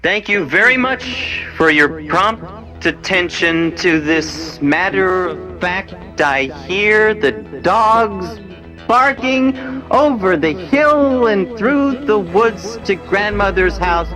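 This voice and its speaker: Very slow, high-pitched man reciting poetry. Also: animated. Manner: deliberate, theatrical, broken short phrases. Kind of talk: performed poetry recital over music. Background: music.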